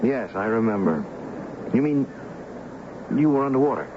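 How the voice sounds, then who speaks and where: A man's voice: medium pitch, animated, moderate pace, close to an everyday voice. An actor playing a wealthy, restless young man in love, speaking and narrating earnestly in a 1970s radio drama. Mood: tender, curious. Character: wealthy, restless young heir in love, recounting his story. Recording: noisy, dull 1970s radio broadcast recording.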